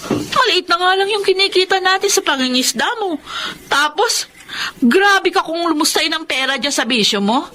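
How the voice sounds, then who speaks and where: A woman's voice: very high-pitched, animated, sharp tone, a little hiss or hum, exaggerated voice. A Filipino actress playing a fed-up fisherman's wife in a radio drama, nagging, confrontational and standing her ground. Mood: angry, reproachful. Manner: nagging, confrontational, rapid-fire questioning.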